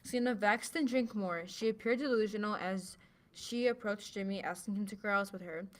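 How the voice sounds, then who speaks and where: A woman's voice: mid-range voice, fairly quick. A woman acting as news reader, delivering a scripted amateur report.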